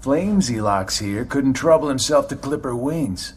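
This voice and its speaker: An actor playing a sly, sardonic middle-aged schemer with a mocking manner in a video game. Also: male voice, mid-range voice, animated, moderate pace, a little hiss or hum, close to an everyday voice. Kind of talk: video game cutscene acting.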